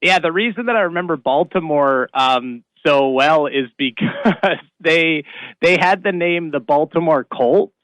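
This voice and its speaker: A man's voice: on the high side, measured pace, a bit bright, dry recording, rough recording. A man from Canada, a comedian, telling stories casually as an interview guest. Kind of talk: casual storytelling chat.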